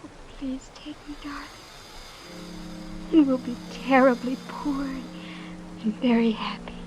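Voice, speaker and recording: A woman's voice: high voice, fairly even intonation, measured pace, over music. An actress in an old-time radio drama playing a naive, spoiled young heiress, flighty and chillingly blithe, with a girlish, pouty, airy manner. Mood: pleading. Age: young adult. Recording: noisy vintage radio broadcast recording.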